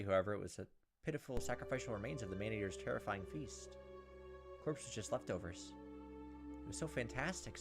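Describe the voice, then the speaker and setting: A man hosting a gaming video, reading visual novel text aloud dramatically with joking, exasperated asides. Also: medium pitch. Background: game music and cricket sounds.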